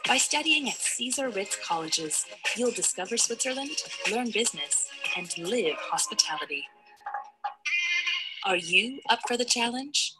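A woman's voice: fairly high pitch, expressive, unhurried, rough recording. A woman reading polished, upbeat scripted promotional narration. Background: music.

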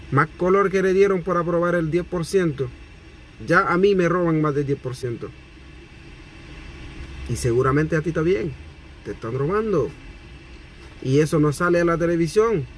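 Man from Haiti, 30s, indignant, animated, phone selfie video, car interior, faint road noise. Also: fairly high pitch. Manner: animated, gesturing, repetitive. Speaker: vlogger. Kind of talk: indignant complaint to camera. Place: parked car, daytime. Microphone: phone camera at arm's length.

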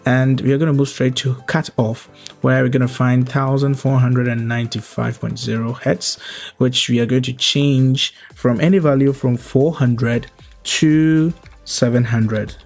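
A young adult man narrating an explanatory tutorial as its host, going through it step by step in a measured way. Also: medium pitch, fairly even intonation, a little mellow. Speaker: host of a video editing tutorial. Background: background music.

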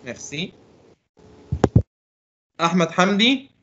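A man's voice: high-pitched, sing-song, extremely slow, lo-fi sound, background noise. A middle-aged man teaching a French class over a video call, calling on students and patiently correcting their answers. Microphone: laptop mic on a video call.

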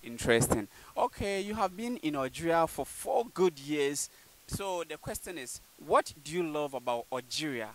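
A young man with a slightly high voice hosts his street interview channel, talking emphatically into a handheld microphone. He alternates between fired-up intros to camera and relaxed questions outdoors, with a faint hiss under the sound. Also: moderate pace, rough recording. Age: young adult. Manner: animated, emphatic, gestures while talking to camera. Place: outdoors on a campus walkway; indoor intro before a red curtain.

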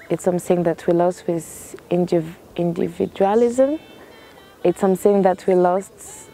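Young woman talking slow and mellow outside, faint noise behind, thinks as she goes. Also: fairly low pitch, gravelly. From France. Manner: thoughtful, searching for words. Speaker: interviewee. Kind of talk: reflective personal testimony. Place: outdoors in a canoe on a lake.

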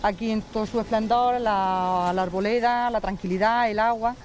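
A woman interviewed outdoors, speaking in a measured, promotional way about her village. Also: medium pitch, fairly even intonation, slight background noise. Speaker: local interviewee. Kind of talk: street interview.

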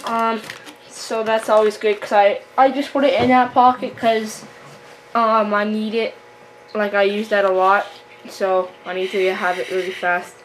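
A young woman talks through fishing gear in a casual vlog style. Her delivery is slow and halting with very little melody. The amateur camera recording has clear background noise. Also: medium pitch, level tone. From Canada. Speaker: fishing vlogger. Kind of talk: casual product tour. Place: room at home with wooden floor. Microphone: camera microphone. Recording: noisy amateur camera recording, handling clicks.

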